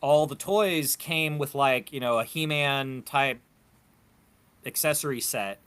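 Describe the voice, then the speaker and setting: Male panelist, crisp bright voice, informal fan talk with halting repeats. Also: medium pitch. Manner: halting, repeating words mid-thought. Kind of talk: casual fan discussion of toys and comics.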